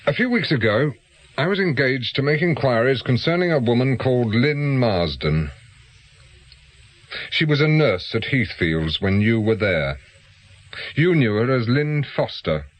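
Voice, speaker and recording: An actor playing a shrewd ex-police inquiry agent with a British accent in a radio drama, blunt and probing. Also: male voice, medium pitch, big pitch swings, measured pace. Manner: blunt, probing, matter-of-fact.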